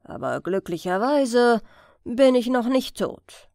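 A woman's voice: on the high side, sing-song, takes it slowly, a little mellow, no room sound, full character voice. A woman voicing a dryly witty, self-assured male detective in an audiobook. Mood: wry. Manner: dry, wry.

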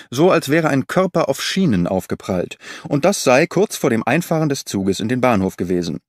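A man voicing a brisk, officious police inspector in an audiobook, formal and assertive. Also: medium pitch, no room sound, studio quality, lightly acted. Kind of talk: audiobook character reading.

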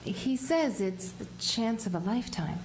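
Spirited young sweetheart acted by a woman for radio drama, high, swooping voice, background noise. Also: lively intonation, measured pace, a little mellow, rough recording. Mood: sweet. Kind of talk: radio play acting.

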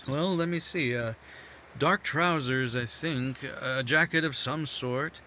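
An actor playing a nervous, scheming newspaperman in a 1950s-style radio mystery, smooth on the surface but stumbling over his words. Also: mid-range voice, takes it slowly, sharp tone, slight background noise. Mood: guarded. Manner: smooth but hesitant, stammering "I, I". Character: nervous, scheming middle-aged male newspaperman. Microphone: headset.

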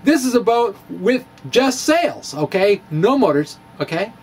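A man's voice: high-pitched, sing-song, a little slow. A middle-aged man reading his blog aloud dramatically into a close microphone, with informal storytelling asides. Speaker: physics blogger. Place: small indoor room with bookshelves. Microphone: handheld-style microphone on a stand, close in front. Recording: clear background room noise.

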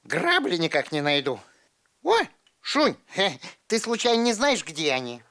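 A woman voicing an excitable, dreamy, boastful young boy kitten in a children's puppet show, with a naive, expressive manner. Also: lowish voice, measured pace, exaggerated voice. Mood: puzzled.

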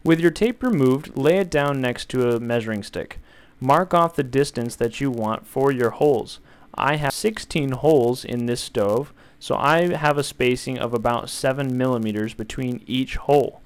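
A young man calmly walking viewers through a build, step by step, in an instructional voice-over. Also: medium pitch, normal speed, clear, resonant tone. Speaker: DIY tutorial maker.

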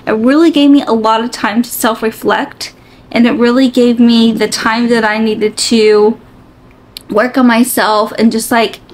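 A woman in her forties, candidly sharing her own story in a reflective, open way, with many fillers, filmed in her bedroom by a camera a few feet away. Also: fairly high pitch. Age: 40s. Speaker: vlogger. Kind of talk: candid personal vlog. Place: bedroom, seated on a bed.

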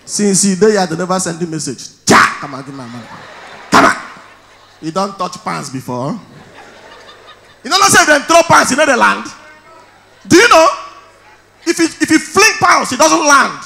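A man in his thirties with a Nigerian accent, performing stand-up on stage with a handheld mic, telling stories loudly and shouting his punchlines. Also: sits high, lively intonation, normal speed, gravelly, long pauses. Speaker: stand-up comedian. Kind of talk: stand-up comedy in Pidgin. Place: large indoor event hall on stage.

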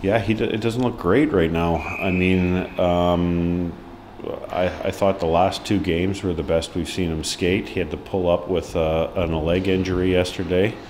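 Deep-voiced man, halting hockey coach. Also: steady tone, a little mellow, slight background noise. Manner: halting, frequent ums and restarts, frank. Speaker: ice hockey head coach. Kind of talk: post-match press interview. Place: indoor press room before a sponsor board.

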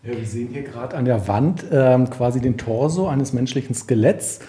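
A man with a mid-pitched, mellow voice does spontaneous reportage in a museum. He describes what is in front of him as he goes. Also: normal speed. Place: inside a museum.

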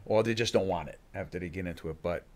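A man's voice: sits low, darkish tone. An older man, a fight coach, talking in a podcast studio and often restarting his sentences. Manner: halting, many restarts, acts out fighters' thoughts. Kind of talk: casual interview talk. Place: studio room with bookshelves. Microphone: studio mic on a boom arm.

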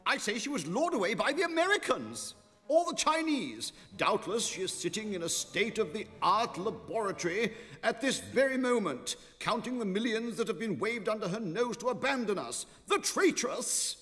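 Pompous elderly British academic. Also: male voice, high voice, big pitch swings, moderate pace, fairly bright tone. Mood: indignant. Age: older. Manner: pompous, theatrical. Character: pompous, indignant elderly English college head. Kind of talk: cartoon acting. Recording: echoing lecture hall, faint music.

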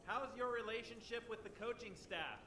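A male reporter at a video game press conference. His voice is very high and he asks direct, probing questions in an echoey room with noticeable background noise. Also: moderate pace, bright, forceful tone. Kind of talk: scripted interview questions. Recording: roomy echo, clear background ambience.

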